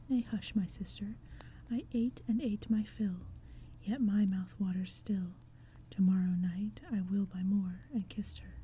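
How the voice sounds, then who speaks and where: A woman reading poetry in a soft, breathy ASMR whisper, with a gentle, hushed storytelling manner. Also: medium pitch. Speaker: audiobook reader. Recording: faint ambient crackling fire and forest sounds. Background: crackling fire, forest ambience.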